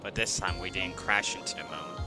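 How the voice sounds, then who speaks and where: A man's voice: low voice, steady tone, normal speed. A man casually commentating on a game livestream, with offhand, dismissive asides. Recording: game music behind the voice. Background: game music.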